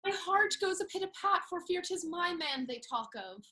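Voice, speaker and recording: A woman's voice: extremely high pitch, lively intonation, moderate pace, roomy sound, noisy background. An actress playing a bold, witty young noblewoman in a Restoration comedy, with spirited, teasing emphasis. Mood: vexed, fluttery. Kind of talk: Restoration comedy stage acting.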